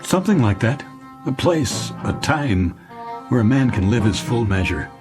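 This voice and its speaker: An American actor playing a weary, wistful middle-aged businessman in an audio drama, speaking haltingly and repeating his words. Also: medium pitch, big pitch swings, natural voice. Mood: dreamy yearning. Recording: radio drama with clear music underneath.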